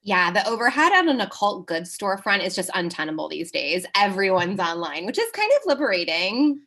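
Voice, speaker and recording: A woman's voice: medium pitch, very animated, a little fast, bright, forceful tone, full character voice. A woman playing a breezy young seller of occult goods in a comedy table read.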